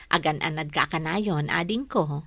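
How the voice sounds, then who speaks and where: A woman's voice: low voice, normal speed, bright, forceful tone, full character voice. A woman playing a responsible, protective, hardworking older sister in a radio drama. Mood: caring, firm.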